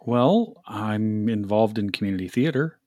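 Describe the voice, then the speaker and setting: Sketch role: a man doing a fed-up, dry-voiced office worker, lively pitch, dead-dry sound. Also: a little slow, no echo. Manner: dry, then exasperated. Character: fed-up male office worker who acts. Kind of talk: comedy sketch.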